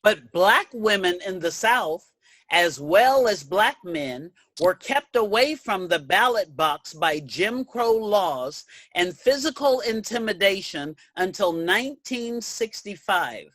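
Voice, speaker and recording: A woman reading scripted narration in a reader's theater, in a measured and articulate way. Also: medium pitch, expressive, fairly bright tone, no echo.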